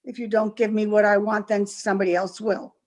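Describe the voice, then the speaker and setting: A woman playing a scheming, ambitious young farm girl in an old-time radio melodrama, taunting and deliberate. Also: mid-range voice, little pitch movement, darkish tone, close to an everyday voice. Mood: scornful, defiant. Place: remote recording over Zoom.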